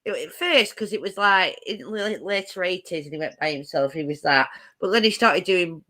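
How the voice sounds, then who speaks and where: A woman's voice: on the low side, moderate pace, pushed and bright. A woman co-hosting a casual live chat stream, teasing and laughing often.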